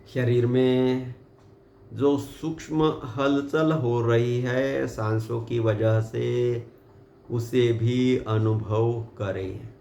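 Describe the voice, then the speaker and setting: A man with an Indian accent leading a guided meditation, giving calm, unhurried and gently directive instructions. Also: medium pitch, creaky. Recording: muffled, roomy sound with background hiss.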